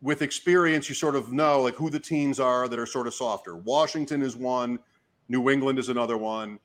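A man, hoarse from talking, hosting a casual fantasy sports show and commentating in short, fragmented phrases as he thinks out loud. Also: mid-range voice, normal speed. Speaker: fantasy football show host giving draft advice. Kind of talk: casual talk, live draft commentary.

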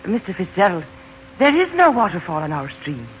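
An actress playing a polite, practical young woman, gently coaxing, in a 1940s radio play. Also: on the low side, very animated, a little fast. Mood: polite, puzzled. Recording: dull, noisy 1940s radio recording.

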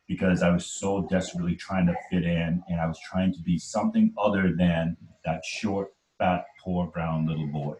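A man's voice: low-pitched, fairly even intonation, moderate pace, few pauses, a little mellow, echoey room, a little hiss or hum, rough recording. A man in his forties from Trinidad, telling his life story as an interview guest in an earnest, emphatic way and often checking in with "right?". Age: 40s. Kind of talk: conversational storytelling.

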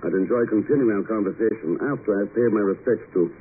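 An actor playing a suave, courteous secret agent, composed and dry, in 1950s radio drama style. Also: male voice, fairly low pitch, fast, lightly acted. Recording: tinny, hissy 1950s radio recording.

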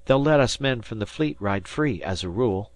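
A man's voice: fairly low pitch, lively intonation, moderate pace, no room sound, lightly acted. A man voicing an earnest, confident teenage schooner boy with plain, colloquial speech in a storybook reading.

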